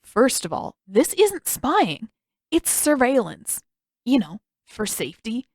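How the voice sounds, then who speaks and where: A woman's voice: on the high side, sing-song, slow pace, a little mellow, husky, dry recording, clean studio sound, heavily acted. A woman voicing an intense, suspicious teenage boy in an audiobook, emphatic and insistent. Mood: confident, scheming. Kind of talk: acted audiobook dialogue.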